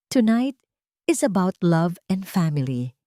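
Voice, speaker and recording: A woman's voice: lowish voice, sing-song, measured pace, mellow, dry recording, clean studio sound. A woman voicing a poised, rich fiancée in an audio drama, speaking dramatically with English phrases mixed in. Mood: poised, sweet. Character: poised rich fiancée, falsely sweet, theatrically distraught.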